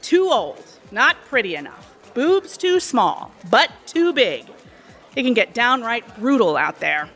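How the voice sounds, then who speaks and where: A woman's voice: fairly high pitch, big pitch swings, a little slow, fairly bright tone, faint music behind, exaggerated voice. An actress playing a chatty, pushy, sly cocktail waitress in her thirties, breezy and overly familiar, in an audio drama. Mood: chatty, griping. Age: 30s. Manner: breezy, familiar, insinuating, talkative.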